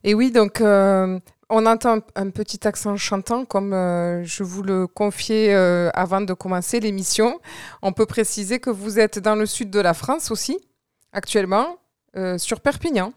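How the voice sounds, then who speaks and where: A woman's voice: mid-range voice, measured pace, clear, resonant tone, no room sound, studio quality. A woman hosting a radio interview show, friendly, with frequent fillers. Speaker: radio host.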